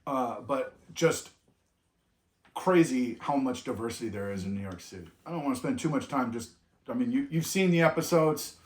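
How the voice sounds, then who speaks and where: A man's voice: mid-range voice, animated, normal speed. A middle-aged man from California, talking casually as a vlog co-host and rambling, with frequent restarts. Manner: rambling, with many restarts and trailing off. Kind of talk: reflective casual chat. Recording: echoey room.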